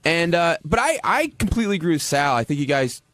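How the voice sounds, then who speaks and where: A man in casual radio studio conversation, answering questions in a halting, defensive way with repeated restarts. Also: on the high side, very animated, fairly bright tone. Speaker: radio show regular, interviewed guest.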